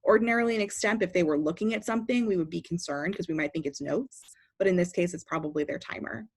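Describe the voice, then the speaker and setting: A woman with a fairly high-pitched voice giving a methodical lesson on judging speeches, asking and answering her own questions. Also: fast, slightly rough. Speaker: debate judge trainer. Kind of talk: instructional explanation.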